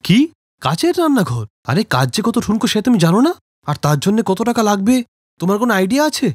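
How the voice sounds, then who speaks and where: A woman's voice: medium pitch, very animated, brisk, breathy edge, no echo, studio quality, pitched below the performer's own voice. A woman voicing a practical, disapproving husband in a family cartoon, reproving and matter-of-fact. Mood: incredulous.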